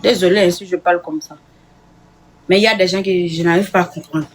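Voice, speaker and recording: A woman's voice: lowish voice, fairly even intonation, a little fast, recorded from a distance, poor audio. A woman ranting in a live chat, rambling and emphatic, repeating herself.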